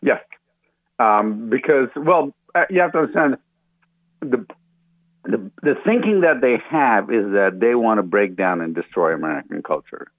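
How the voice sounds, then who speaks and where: A man's voice: sits low, creaky, no room sound. A man who was born in Ghana and grew up in Africa, a guest earnestly explaining his view in a radio interview, with many fillers and restarts. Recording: dry, tinny phone line.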